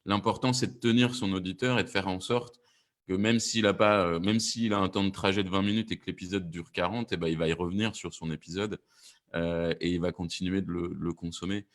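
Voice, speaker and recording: A man's voice: low voice, fairly even intonation, brisk. A man speaking casually as a producer in a panel discussion, restarting phrases and repeating himself for emphasis. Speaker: panelist, audio fiction producer.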